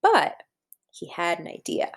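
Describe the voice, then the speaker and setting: A woman's voice: lowish voice, wildly swooping pitch, a little slow, vocal fry. A woman teacher reading a storybook aloud to young children, talking to them directly and posing questions as she guides them along. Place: indoors at a computer.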